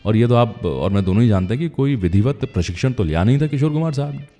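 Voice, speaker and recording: A man's voice: mid-range voice, expressive, quick pace, warm tone, long reverb tail, faint music behind. A man hosting a radio show in a chatty, conversational storytelling manner, speaking directly to his listeners.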